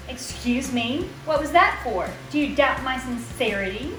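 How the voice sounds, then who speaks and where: High female voice, fussy demanding lady, acted by a woman, theatrical formal phrasing, stage-style poetry drama, echoey room. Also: lively intonation, measured pace. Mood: prim, demanding. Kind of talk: stagy dramatic poetry performance. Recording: echoey room, music behind.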